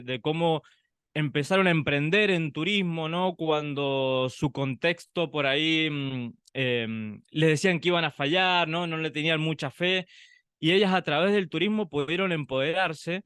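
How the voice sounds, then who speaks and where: A man co-hosting a podcast, talking through his thoughts in a relaxed, reflective way, with frequent pauses and tag questions. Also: on the high side, clean tone, no room sound. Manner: reflective, thinks aloud with many "em" pauses and tag "¿no?". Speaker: podcast co-host. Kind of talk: conversational podcast chat.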